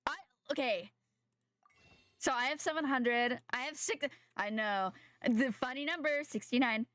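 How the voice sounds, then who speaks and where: A woman's voice: sits high, unhurried, fairly bright tone, no echo. A young adult woman streaming a game, chatting casually with viewers in a slightly nasal voice from a sore throat, rambling and correcting herself. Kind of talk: casual live-stream chat while gaming.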